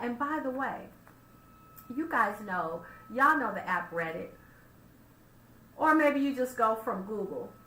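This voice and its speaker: A woman hosting a radio talk show, talking straight to her listeners in an animated way and firing off rhetorical questions. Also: on the high side. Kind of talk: casual radio monologue. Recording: roomy echo, faint background noise.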